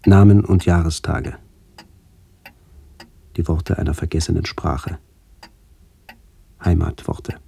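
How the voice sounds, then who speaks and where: A middle-aged man reading a literary memoir for radio in a measured, sober way, with a gravelly voice. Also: low voice, mellow. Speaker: author-narrator of a memoir radio play. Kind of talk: scripted literary narration, reminiscence.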